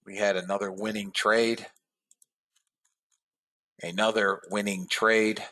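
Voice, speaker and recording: A man hosting a live trading webinar, talking casually to his viewers and calling them guys and fellas, a bit hoarse. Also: on the low side, little pitch movement, slow, sharp tone. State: slightly hoarse voice. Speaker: trading room host.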